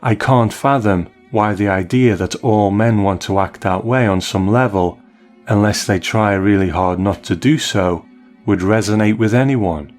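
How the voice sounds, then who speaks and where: Low-voiced man reading listener mail. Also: measured pace, a little mellow, soft background music. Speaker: podcast host.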